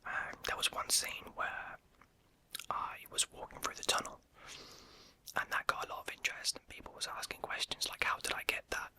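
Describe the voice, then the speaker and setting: Bright whispering YouTuber, soft ASMR Q&A. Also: moderate pace, low-quality recording. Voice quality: unvoiced whisper throughout. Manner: hesitant and rambling, many "um"s, self-correcting. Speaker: YouTube creator answering viewer questions.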